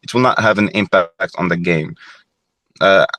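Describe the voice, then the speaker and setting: Young male guest, deep and dry, thoughtful with many restarts. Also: moderate pace, fairly bright tone, no room sound. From Morocco. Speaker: former goalkeeper, guest. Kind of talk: reflective podcast interview.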